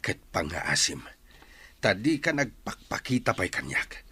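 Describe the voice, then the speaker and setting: A middle-aged man with an Ilocano accent playing a resentful, grieving father in a radio drama, his voice husky. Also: lowish voice, sing-song, unhurried, sharp tone, full character voice. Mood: bitter, accusing.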